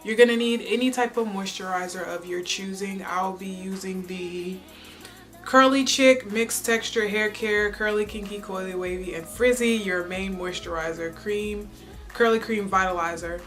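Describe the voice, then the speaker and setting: A woman's voice: mid-range voice, normal speed, a bit bright, soft background music. A young woman chatting casually through a hair tutorial on her own channel, rambling with lots of ums and filler words. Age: young adult. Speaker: beauty vlogger.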